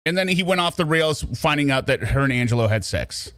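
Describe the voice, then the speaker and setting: A man's voice: on the high side, animated, a little fast, a bit bright, no room sound, clean studio sound. A man giving casual reaction commentary in an exasperated, mocking way, often paraphrasing other people's words. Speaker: true crime commentator. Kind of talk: casual reaction commentary over a played phone call.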